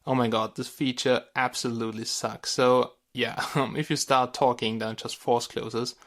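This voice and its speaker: A man's voice: mid-range voice, little pitch movement, normal speed, fairly bright tone. A man with a German accent giving a casual gadget review as a tech reviewer, speaking informally and bluntly. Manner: informal, blunt, mildly crude.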